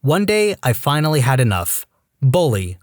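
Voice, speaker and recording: A male narrator reads posts in a scripted, wry storytime style. His pitch swings in a lively way from line to line. The sound is close and dry, with no room around it. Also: moderate pace, no echo. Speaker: narrator reading online posts.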